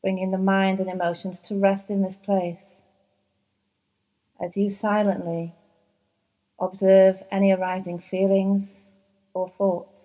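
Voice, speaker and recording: A woman teaching yoga nidra, guiding a relaxation meditation in a soft, soothing voice, slow and unhurried with long pauses. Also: medium pitch, little pitch movement. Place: yoga training course.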